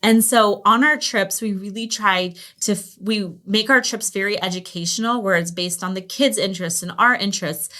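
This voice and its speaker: A middle-aged woman chatting casually as an interview guest, telling anecdotes in an animated way and acting out quoted remarks. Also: mid-range voice, normal speed, hardly any pauses. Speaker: podcast guest, homeschool language program founder. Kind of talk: casual conversational storytelling.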